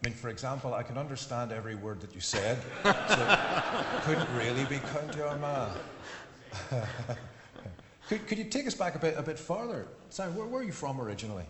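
A man's voice: mid-range voice, very animated, fairly quick. A man hosting a comedy interview on stage, teasing his guest and playing dumb. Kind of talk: joking onstage banter. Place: hall with live audience. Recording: echoey hall with noise.

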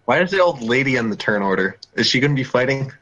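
A man on a voice call plays a tabletop game. His voice is mid-pitched, and he talks through his theories and asks questions as he goes. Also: lively intonation, fast talker, a crowd in the background, poor audio. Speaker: player. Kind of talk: casual tabletop play.

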